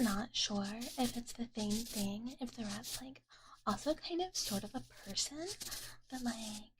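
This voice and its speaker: A woman speaks in a soft, hushed ASMR whisper, higher-pitched when voiced. She chats haltingly to live viewers as a streamer, very close to the mic, with clear noise in the recording. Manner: speaks of herself in third person as a dragon character. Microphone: close ASMR microphone.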